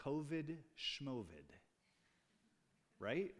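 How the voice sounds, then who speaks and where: A man's voice: medium pitch, sing-song, extremely slow. A man preaching a sermon as pastor, talking conversationally with asides and jokes to his congregation. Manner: restarts and fillers, speaking off the cuff.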